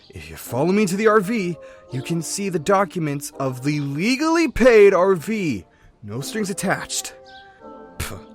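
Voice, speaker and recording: A man's voice: medium pitch, natural voice. A man voicing an easygoing young male rat drifter who talks in a laid-back, friendly, chatty way in a fan comic dub.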